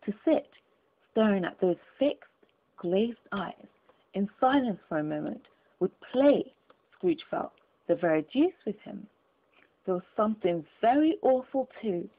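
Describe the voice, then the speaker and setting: Female audiobook reader, dramatic storybook narration, mid-pitched, lively intonation, tinny lo-fi recording. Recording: thin, phone-like lo-fi audio.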